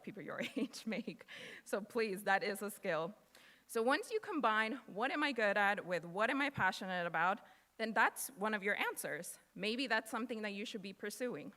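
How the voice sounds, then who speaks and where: Woman, twenties, first language Spanish, motivational speech, casual and earnest, crisp bright voice, long hall reverb. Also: mid-range voice, animated, moderate pace. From United States. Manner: earnest, casual with fillers and laughs. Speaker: guest speaker.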